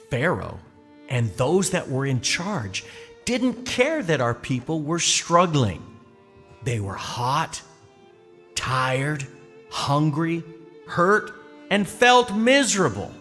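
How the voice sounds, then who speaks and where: Man playing a slow, animated storytelling father. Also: mid-range voice, full character voice. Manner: deliberate, explanatory storytelling. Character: patient Israelite father, gentle but firm, telling a bedtime story. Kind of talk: children's church puppet show acting. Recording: long hall reverb, music underneath.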